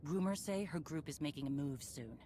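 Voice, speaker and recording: Low-voiced stern warrior woman. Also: expressive, a little fast, mellow. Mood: grave, resolute. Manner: terse, commanding. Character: stern, determined female Mandalorian warrior leader, former bounty hunter. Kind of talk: scripted game cutscene acting. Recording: clear background noise and ambience.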